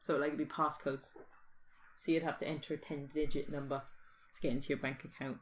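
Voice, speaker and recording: A woman's voice: low-pitched, little pitch movement, brisk, a little hiss or hum. A British woman in casual conversation, musing informally and thinking aloud as a podcast host. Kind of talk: casual speculative chat.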